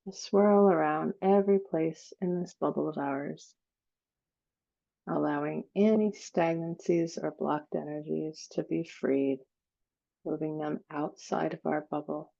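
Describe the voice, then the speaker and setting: Female meditation guide. Lowish, dark and soft-toned, unhurried and soothing, leaves long gaps. Slightly dull recording. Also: gravelly. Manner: slow, soothing, with long pauses.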